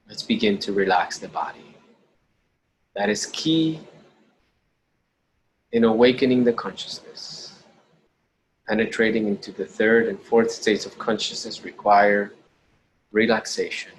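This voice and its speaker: A man leading a guided meditation in a slow, deliberate, gentle instructional way, pausing between short phrases. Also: on the low side, rough recording. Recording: clearly audible background noise.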